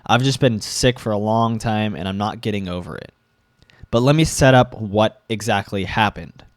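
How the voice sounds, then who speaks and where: A young man ranting to camera, sick and a bit congested, halting and restarting as he gets agitated. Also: on the low side, no echo, studio quality. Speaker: vlogger. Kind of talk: ranting commentary.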